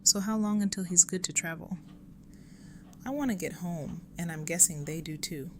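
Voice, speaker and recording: A woman playing a brisk, authoritative leader in an audio drama. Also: on the low side, darkish tone, natural voice. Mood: decisive. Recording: clear background noise.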